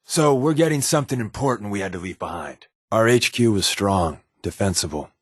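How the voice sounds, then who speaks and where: A man's voice: fairly low pitch, lively intonation, brisk, slightly rough, dry recording, studio quality. A man playing a sly, wry undercover resistance agent in a video game, talking in a casual, glib, sardonic way. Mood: businesslike. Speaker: video game companion character. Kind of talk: scripted game dialogue, conversational.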